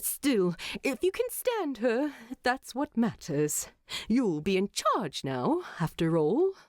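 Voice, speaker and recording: A woman voicing a tired, fussy, gentle male hobbit in a fantasy story, with a hesitant, muttering manner. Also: fairly high pitch, wildly swooping pitch, slow pace, no echo, studio quality, voice pushed up, heavily acted. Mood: grudging, tired.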